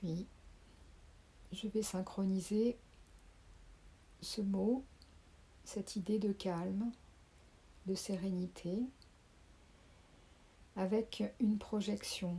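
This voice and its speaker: A woman leading a guided sophrology relaxation, speaking softly and calmly and sometimes dropping to a whisper. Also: medium pitch, husky. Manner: slow, soft, calming, with whispered phrases. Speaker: sophrology teacher.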